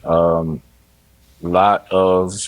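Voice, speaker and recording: A man's voice: low voice, little pitch movement, very slow, poor audio. A man from Haiti, a DJ guest, talking in an easygoing way with short answers and lots of "you know" and "man". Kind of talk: casual interview chat.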